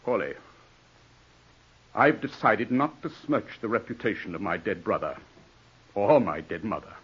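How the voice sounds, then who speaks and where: An actor playing a cold, haughty gentleman in a 1940s radio drama, snapping commands at his servant and guarding his reputation. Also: medium pitch, breathy edge. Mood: cold, resolute. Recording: muffled, dull old radio broadcast.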